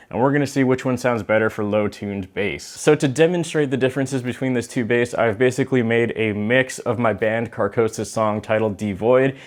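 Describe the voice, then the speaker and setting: A man with a mid-pitched voice hosts an online gear video. He speaks in a casual presenting style, explaining things directly to his viewers. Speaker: host of a bass gear video series. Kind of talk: casual presenting to camera, product comparison.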